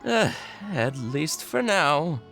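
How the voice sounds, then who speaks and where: A man playing a flustered, anxious toy carver with a hesitant, halting manner in a video game. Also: a little high, big pitch swings, creaky, a bit bright, soft background music, exaggerated voice. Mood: anxious, dejected. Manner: hesitant, halting, dramatic sighing worry. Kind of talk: animated video game dialogue.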